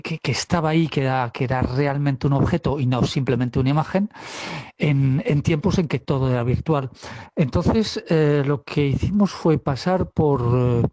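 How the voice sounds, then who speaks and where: A man's voice: fairly high pitch, mellow, dry recording. A man from Valencia with a Spanish accent, a theater director who speaks in a thoughtful way and often restarts his phrases. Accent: Spanish from Spain. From Valencia, Spain. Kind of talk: recorded interview clip.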